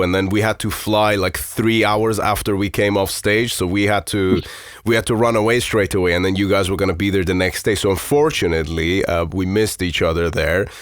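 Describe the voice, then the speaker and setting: A man's voice: lowish voice, no echo, studio quality. A man from Iran hosting a podcast, reading a scripted intro and then chatting casually with his guest, swearing freely and using lots of fillers. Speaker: podcast host.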